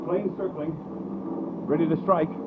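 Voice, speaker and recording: A tense army pilot radios command in clipped military phrases. His fairly high voice comes through a tinny aircraft radio with loud noise. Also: steady tone, measured pace. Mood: desperate resolve. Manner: terse, clipped military reporting. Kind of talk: radio drama acting.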